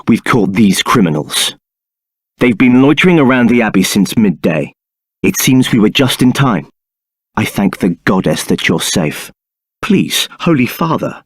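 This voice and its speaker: An actor playing an arrogant, contemptuous templar captain in a video game, with a haughty, clipped, sneering delivery. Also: male voice, fairly low pitch, no room sound, studio quality, full character voice. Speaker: game character voice.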